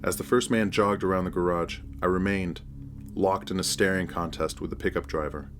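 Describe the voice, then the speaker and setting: A man's voice: sits low, steady tone, brisk. A man narrating a scripted first-person horror story as its narrator.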